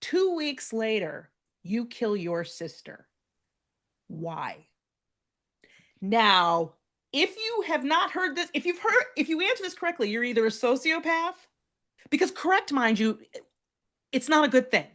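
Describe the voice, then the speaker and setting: A woman's voice: sits high, a little slow, pauses often. Storytelling woman comedy co-host. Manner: animated storyteller, builds to punchlines, laughs often.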